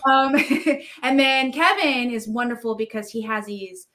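A woman's voice: fairly high pitch, measured pace, sharp tone. A middle-aged woman, a band musician, chatting casually as an interview guest on a video call. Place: indoor room, video call.

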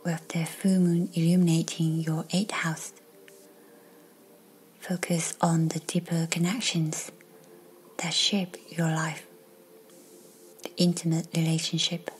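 A woman softly narrating a scripted guided meditation in a breathy, near-whispered, soothing delivery. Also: sits low, level tone, slow pace, pauses often, slight background noise, rough recording. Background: music.

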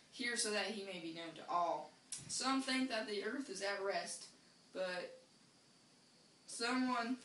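A woman's voice: mid-range voice, a bit bright. A young woman reads an old scientific text aloud, seated in a small room a few metres from a camera. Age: young adult. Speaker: reader and organizer of an informal group reading. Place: small bare room indoors. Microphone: camera mic from a few metres. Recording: echoey room, distant mic, faint hiss.

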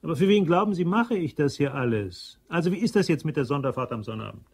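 A man's voice: on the high side, lively intonation, quick pace, darkish tone, slightly rough. An actor playing an impatient, bossy male manager of a shopping centre in a radio crime drama, curt and demanding. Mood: businesslike, firm.

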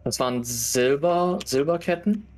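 A man chatting casually as a tabletop game player, hesitant and halting. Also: on the high side, darkish tone.

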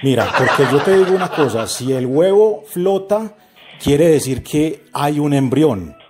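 A man's voice: a little high, measured pace, slightly rough. A Colombian man making a prank phone call, speaking politely and with mock earnestness like an official running a survey. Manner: polite, feigned earnest, flattering. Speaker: prank caller. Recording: very noisy telephone call recording.

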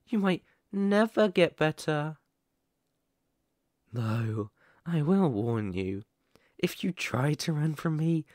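Man playing a slow, menacing, possessive werewolf. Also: medium pitch, dry recording, studio quality. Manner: slow, deliberate, condescending, threatening. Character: sadistic possessive male werewolf captor, menacing and coaxing. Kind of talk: ASMR roleplay.

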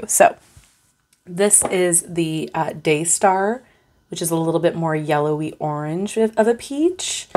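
A woman's voice: lowish voice, a little hiss or hum. A young adult woman hosting her own channel, chatting casually and upbeat while her voice is still strained from recovering. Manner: chatty and upbeat, bubbly enthusiasm. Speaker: tarot channel host. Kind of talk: casual vlog talk to camera.

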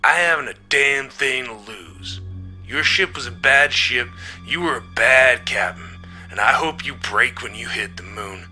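A man's voice: medium pitch, measured pace, pushed and bright, faint music behind. A man playing a bitter, mutinous spaceman in a story reading, sardonic and goading in manner. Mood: angry, taunting. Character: bitter, mutinous male spaceman, taunting and spiteful.

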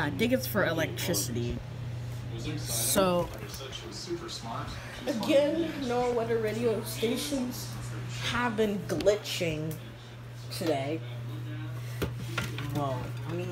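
A woman's voice: medium pitch, low-quality recording. An American woman chatting casually to viewers in an informal, hesitant vlog style, recorded on a handheld phone. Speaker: vlogger. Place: home, between porch and indoors. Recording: loud background noise on handheld phone.

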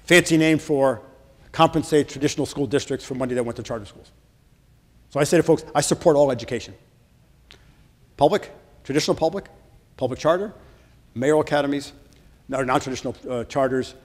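A man's voice: mid-range voice, fairly quick, long pauses. A middle-aged man, a state senator, giving a casual budget presentation and quizzing his audience in a large function room. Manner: casual, asks the audience questions. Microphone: far camera. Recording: long reverb in a large room.

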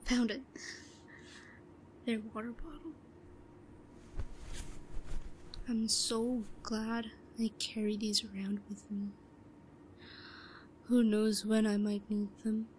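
Woman acting a slow, whispery, obsessive yandere schoolgirl. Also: flat delivery, a little hiss or hum. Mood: furtive, scheming. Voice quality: breathy, often dropping to a whisper. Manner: hushed muttering to herself, halting. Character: shy, obsessive yandere schoolgirl, secretly scheming. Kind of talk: roleplay audio drama monologue. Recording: low-quality recording with faint crowd murmur.

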